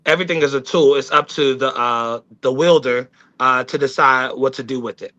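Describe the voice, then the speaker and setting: A man talking casually on a live discussion show, reflective and halting as he works through his thoughts. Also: medium pitch, bright, forceful tone, dull audio. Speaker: talk show team member.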